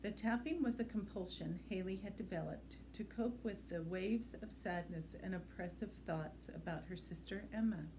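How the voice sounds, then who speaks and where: A woman's voice: medium pitch, normal speed, hardly any pauses, background noise. A woman reading a suspense novel aloud in the style of an audiobook, as a library reader.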